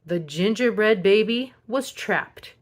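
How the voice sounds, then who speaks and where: A woman reading a picture book aloud as a storyteller, in an expressive children's narration style. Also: medium pitch, slow pace. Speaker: storybook reader.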